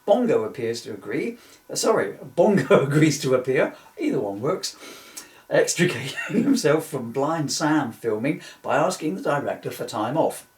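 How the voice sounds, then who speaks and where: A man's voice: medium pitch, very animated, moderate pace. A man reading from his own book in an informal way, with jokey, self-mocking, rambling asides. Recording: echoey room, distant mic.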